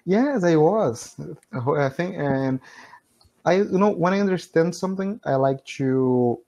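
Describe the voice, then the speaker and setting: A man's voice: fairly high pitch, expressive, a little slow, warm tone. A man in his thirties from Brazil, speaking English with a Brazilian Portuguese accent as a learner in casual conversation practice over a video call, laughing often and leaning on "you know". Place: small room at home, indoors.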